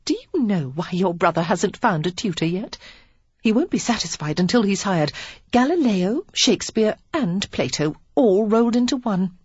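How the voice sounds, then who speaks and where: A woman's voice: medium pitch, lively intonation, normal speed, darkish tone, breathy edge, dry recording, lo-fi sound, voice pushed up. A woman reading the part of a witty, confident young woman who teaches card tricks, in a teasing, playful way.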